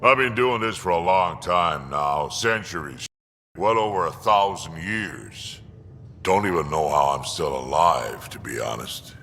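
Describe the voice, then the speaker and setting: An actor playing an ancient, battle-hardened alien mercenary in a video game, gruff, blunt and amused at himself, with a gravelly voice. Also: male voice, deep voice, expressive, unhurried, bright, forceful tone, exaggerated voice. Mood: gruff, reflective. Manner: blunt, self-deprecating. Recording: reverberant room with faint noise.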